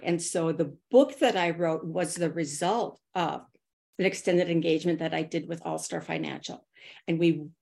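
A middle-aged woman who is a guest on a podcast. She tells personal stories in an animated way and acts out her own quoted thoughts. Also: on the low side, creaky. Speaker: podcast guest, consultant and author. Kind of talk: personal storytelling in an interview.

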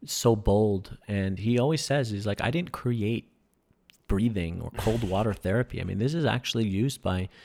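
A man with a low, gravelly voice, talking eagerly on a podcast. He explains things at length, with plenty of ums and uhs. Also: moderate pace, a little mellow. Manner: enthusiastic, explanatory, many fillers. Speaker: podcast guest, breathing workshop instructor. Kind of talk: casual podcast conversation.

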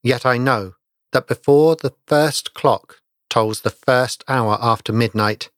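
A man voicing a doomed, pale stranger in a ghost story, speaking low, hushed and hollow. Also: slow pace, no echo, studio quality. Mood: grave, hushed.

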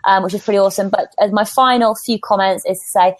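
A woman's voice: medium pitch, steady tone, fast, no room sound. Upbeat woman hosting, dry sound. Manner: enthusiastic, upbeat, promotional. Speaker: host of an online podcasting conversation. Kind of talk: live online chat hosting.

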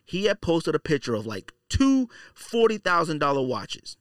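A man's voice: on the high side, big pitch swings, moderate pace, no echo, clean studio sound. A man hosting a podcast in a casual, opinionated monologue, blunt and slangy, repeating phrases for emphasis. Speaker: podcast host.

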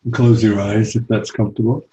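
A man leading a guided meditation as a teacher, speaking calmly and gently with long pauses. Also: on the low side, highs cut off.

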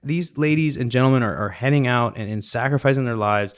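A man's voice: medium pitch, fairly quick, clean tone. A man from British Columbia, Canada, hosting a podcast in a casual, conversational interview style. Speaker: podcast host.

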